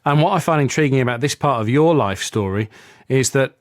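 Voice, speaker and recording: A man's voice: mid-range voice, lively intonation, fairly quick, no echo, studio quality. Chatty male radio presenter. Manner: chatty, enthusiastic, rambling questions. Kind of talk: radio interview. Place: radio studio.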